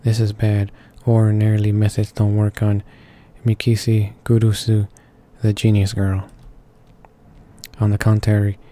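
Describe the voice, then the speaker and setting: Male gaming host, read-along narration. Very flat, dark lowish voice, stumbles over words. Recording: faint music behind the voice. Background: game music.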